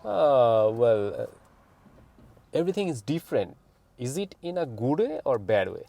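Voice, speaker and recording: A man hosting an interview show, racing through his intro and asking questions slowly and carefully in English. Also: medium pitch, big pitch swings, gravelly, mellow. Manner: fast in his own language, slower and careful in English. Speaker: host.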